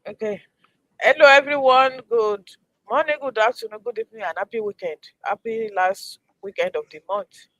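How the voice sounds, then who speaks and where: A woman's voice: on the high side, normal speed, a bit bright, dry recording, poor audio. A woman with a Nigerian accent greeting viewers and introducing herself as a co-host and mentor on a livestream.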